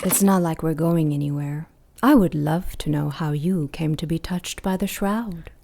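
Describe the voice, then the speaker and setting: A woman playing a vengeful supernatural villain in an audio drama, poised and probing, with quiet menace under her politeness. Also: sits low, normal speed, vocal fry. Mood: curious, sardonic. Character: Lilith, menacing vengeful female supernatural villain posing as friendly.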